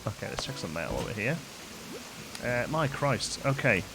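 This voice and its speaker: Chatty male streamer, deep voice, game music underneath. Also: big pitch swings, moderate pace, vocal fry, poor audio. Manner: chatty, reads out gift notes and thanks viewers. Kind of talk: casual live gameplay chat.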